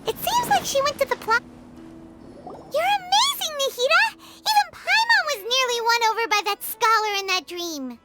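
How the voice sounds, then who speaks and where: A woman voicing a tiny, childlike fairy companion in a video game, squeaky, chatty, excitable and affectionate. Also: moderate pace, over loud music. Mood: excited, admiring. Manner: excitable, emphatic. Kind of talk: scripted video game dialogue.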